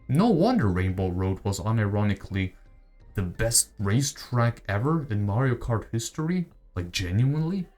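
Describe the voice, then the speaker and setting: A man's voice: medium pitch, warm tone. A man giving casual gaming commentary as a voice-over, conversational and opinionated, often posing rhetorical questions. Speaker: gaming video essayist. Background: background music.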